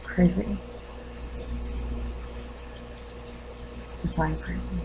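A woman playing a grieving, defensive mother in a dramatic monologue, halting and intense. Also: fairly low pitch, fairly even intonation, very noisy, pitched above the performer's own voice, lightly acted. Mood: guarded, challenging. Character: grieving, defensive mother confronting her doctor.

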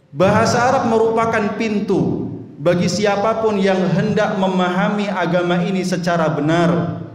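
A young man from Indonesia giving a Friday sermon at a lectern in a mosque, speaking into a handheld mic in a measured, earnest preaching manner. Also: sits high. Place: mosque hall, indoors. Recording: echoey mosque hall with long reverb.

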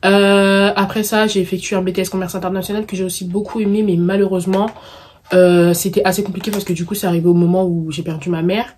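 A woman's voice: mid-range voice, little pitch movement, quick pace, darkish tone, slightly rough. A woman in her early twenties with a Parisian suburban French accent chats casually and playfully to camera in slangy speech, tossing in English catchphrases. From Paris suburbs, France; of Congolese descent. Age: early 20s. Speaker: vlogger answering viewer questions.

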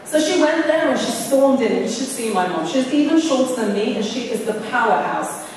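A woman speaking at a podium in a large, echoey hall, heard from a distance with a long reverb tail. Her voice sits a little high for a woman, and she talks in an earnest, storytelling way. She lifts and stresses the words that matter most. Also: lively intonation, fairly quick, a little hiss or hum. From Iran. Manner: earnest, storytelling, rising emphasis on key words. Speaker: award recipient giving acceptance speech. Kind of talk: public acceptance speech. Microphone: podium mic, camera far off. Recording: echoey hall recorded from far off.

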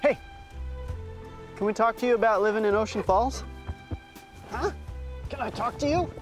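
Higher-pitched man hosting a documentary. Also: moderate pace, over music. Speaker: documentary host. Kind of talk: documentary narration and casual on-location interviewing.

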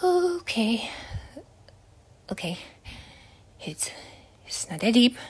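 Woman doing a scared, swearing teen boy, high voice, swooping wildly, slow drawl. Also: sing-song, slightly rough, full character voice. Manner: swearing, dramatic, jumpy. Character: jumpy foul-mouthed teen boy, scared and joking. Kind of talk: ASMR roleplay.

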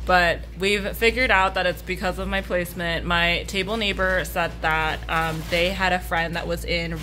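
A young woman vlogging after a convention day, tired and a little hoarse, rambling and laughing often with casual swearing. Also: fairly low pitch, steady tone, moderate pace, pushed and bright, slight background noise. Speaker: artist vlogger. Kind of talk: casual vlog chat. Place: hotel room and convention hall.

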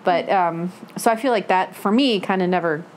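A woman in a casual book discussion, telling things in an animated way and quoting her own reactions. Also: on the low side, moderate pace. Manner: animated storytelling with self-quoted reactions. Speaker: book club panelist. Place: living room, seated on a couch. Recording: faint room noise.

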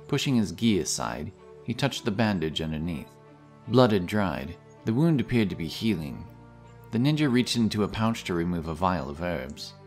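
A man narrating a scripted fantasy audiobook in a measured, dramatic way. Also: low voice.

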